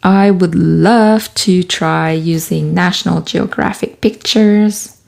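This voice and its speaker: Soft, slow-talking woman. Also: lowish voice, steady tone, vocal fry. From Quebec, Canada. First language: French. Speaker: artist and author vlogger. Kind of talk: casual talk while doing collage. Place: small home studio room.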